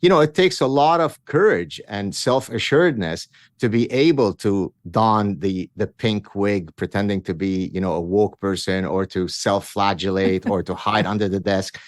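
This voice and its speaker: A man's voice: on the low side, moderate pace, no room sound, clean studio sound. A man in his fifties from Lebanon, a guest telling stories of his childhood in a wry, self-mocking way with many restarts. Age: 50s. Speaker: guest author. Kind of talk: conversational interview storytelling.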